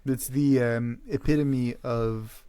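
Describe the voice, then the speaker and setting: A man's voice: medium pitch, steady tone, slow pace, mellow, smooth, no room sound. A young man co-hosting a podcast, chatting casually with slang, swearing and emphatic exaggeration. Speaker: podcast co-host.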